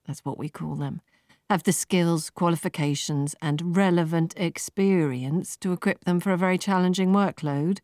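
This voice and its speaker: A woman voicing a brisk, condescending female managing director in an audiobook, with formal phrasing. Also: lowish voice, a little mellow, no echo, studio quality, lightly acted. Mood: self-important. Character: brusque, self-important female managing director.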